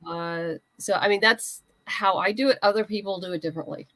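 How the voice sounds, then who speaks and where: A woman speaks at a middle pitch in a very dry, close recording. She talks like a guest in a casual interview, offering practical, down-to-earth advice in a conversational manner. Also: brisk, a bit bright, no room sound, low-quality recording. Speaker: guest writer and comics creator.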